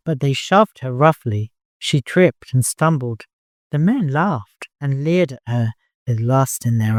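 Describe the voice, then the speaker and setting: A man narrating fiction as an audiobook, in an expressive, dramatic storytelling manner. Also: mid-range voice, no room sound, studio quality.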